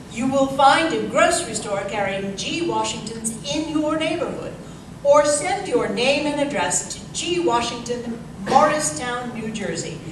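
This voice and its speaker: A woman playing an upbeat 1930s radio announcer, with a deliberate, presentational sponsor-pitch delivery. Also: noisy background. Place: live stage performance in a museum. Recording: echoey hall, distant mic, music behind.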